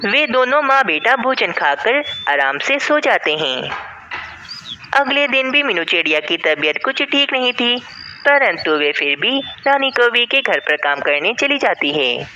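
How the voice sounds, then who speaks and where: Female cartoon narrator: scripted storytelling, bright tone, lively swooping intonation. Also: on the high side, unhurried, muffled recording, slight background noise.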